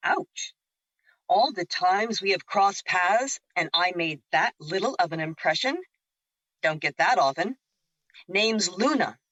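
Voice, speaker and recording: A woman's voice: lowish voice, lively intonation, takes it slowly, a bit bright, no echo, full character voice. A woman acting in an audio drama as a teasing, breezy celestial sister, casual and wry, on a slightly dull recording.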